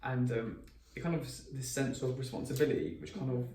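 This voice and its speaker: A man's voice: medium pitch, animated, fairly quick, warm tone, slight background noise. A man of twenty, co-hosting a podcast in casual chat, rambling with many restarts and fillers. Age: 20s. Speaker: podcast co-host. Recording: echoey room, mic at a distance, faint ambience.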